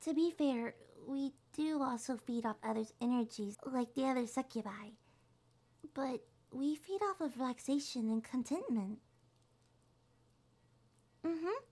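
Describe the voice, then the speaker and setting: A woman voicing a small, shy, gentle monster girl in an ASMR roleplay, speaking softly, hesitantly and reassuringly. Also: high-pitched, animated, a little slow, pauses often, full character voice. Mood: gentle, chatty. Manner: hesitant, soft-spoken, reassuring.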